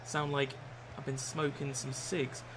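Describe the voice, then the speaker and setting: A young man in his twenties with a croaky voice, chatting and swearing through a live football game stream from a small room. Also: medium pitch, little pitch movement, a little slow, background noise. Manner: swears often, shouts and laughs at the game. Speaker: gaming streamer. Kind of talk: live gameplay chat.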